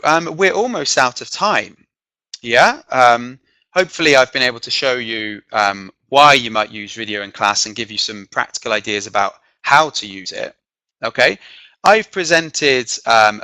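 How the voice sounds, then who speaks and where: A man from the south of England, with an accent mixing southern and northern English, presenting a teaching webinar. He checks in with "okay?" often and fills pauses with um. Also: mid-range voice, animated, bright, forceful tone, no room sound, poor audio. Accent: mixed southern and northern English. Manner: frequent "okay?" check-ins and filler ums. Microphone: computer microphone on a video call.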